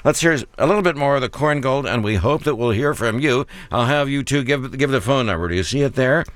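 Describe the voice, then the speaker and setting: A man hosting a public radio fundraiser in the studio, chatting genially with guests and slowing down to read out the pledge number. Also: mid-range voice, animated, a bit bright, no room sound. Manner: genial, chatty, slows to repeat phone numbers. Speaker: radio host. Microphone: studio mic.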